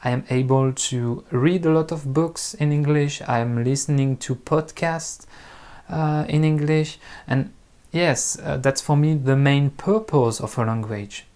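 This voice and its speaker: French-accented male host, unhurried and halting, frequent filler pauses, off-the-cuff talk to viewers. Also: medium pitch, darkish tone. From France. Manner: hesitant, pausing often with ums and uhs. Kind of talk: unscripted talk to camera.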